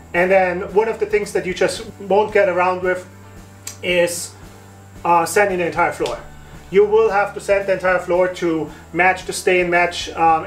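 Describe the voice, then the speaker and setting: A man in his thirties hosting a home renovation tutorial, explaining steps casually with fillers and restarts in an empty wooden attic room. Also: sits high.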